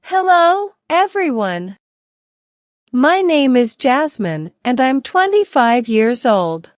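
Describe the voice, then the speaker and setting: A woman reading a scripted self-introduction slowly and clearly for learners to repeat. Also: high-pitched, very animated, dry recording, studio quality. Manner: clear, deliberate, evenly paced delivery. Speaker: English teacher reading a model speech. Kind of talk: slow scripted reading for repetition practice.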